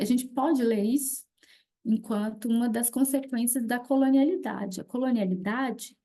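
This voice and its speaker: A woman's voice: a little high, mellow. Woman giving a reflective academic talk. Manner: reflective, many fillers like "né" and "assim". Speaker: psychoanalysis researcher presenting her dissertation.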